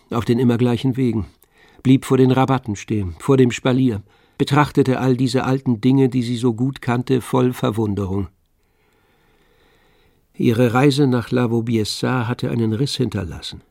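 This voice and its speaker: A man narrating a literary radio drama from a script in a measured storytelling manner. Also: mid-range voice, creaky, darkish tone, no echo, studio quality. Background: music with violin.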